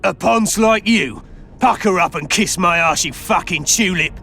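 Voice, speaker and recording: A gravelly, forceful lowlife from a fantasy game, pitched fairly high for a man. He is defiant, brash and foul-mouthed, with faint music behind him. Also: a little slow, heavily acted. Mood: jeering. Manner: brash, insulting. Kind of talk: video game cutscene dialogue.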